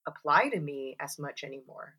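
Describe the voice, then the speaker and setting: Low-pitched, bright-toned chatty woman. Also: animated, normal speed, breathy edge. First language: English. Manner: chatty, many fillers and "like"s; acts out quoted voices. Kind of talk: casual chat.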